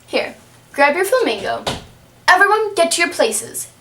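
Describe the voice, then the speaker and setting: Lady doing a bossy hot-tempered puppet show queen, really high, far from the mic. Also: sing-song, moderate pace, a bit bright, slight background noise, exaggerated voice. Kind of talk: amateur puppet-show storytelling. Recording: roomy, distant microphone.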